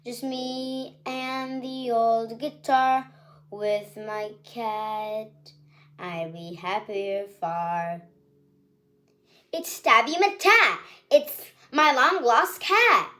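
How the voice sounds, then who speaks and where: A woman voicing an old man, a kindly street busker, singing softly and higher than her own voice. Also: extremely slow, exaggerated voice. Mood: overjoyed.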